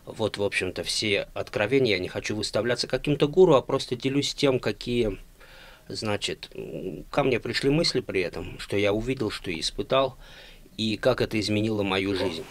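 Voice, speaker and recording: A middle-aged man talking casually to camera in a halting, subdued, apologetic way. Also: lowish voice, expressive. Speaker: author narrating own novel. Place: small room at home.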